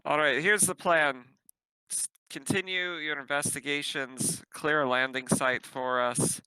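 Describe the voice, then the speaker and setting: A man's voice: a little high, steady tone, measured pace, bright, forceful tone, smooth, dry recording, poor audio. A man playing a tabletop roleplaying game, talking casually in character as he leads a mission.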